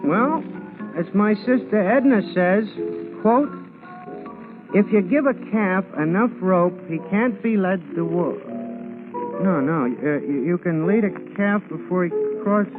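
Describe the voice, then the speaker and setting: An American actor playing a simple, good-natured bartender pal in a 1940s radio drama, slangy, ungrammatical and bumbling. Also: male voice, sits high, a little slow. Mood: sly, good-natured. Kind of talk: 1940s radio comic character acting. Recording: tinny 1940s radio broadcast, music.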